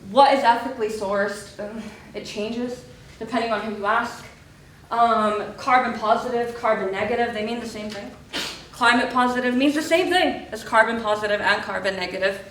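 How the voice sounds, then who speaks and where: A woman's voice: on the high side, moderate pace, a bit bright, slight background noise. A young woman from Mexico with an American-sounding accent, giving a chatty, self-deprecating conference talk. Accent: American-sounding English. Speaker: conference speaker, PhD researcher. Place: conference hall. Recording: echoey hall, distant mic.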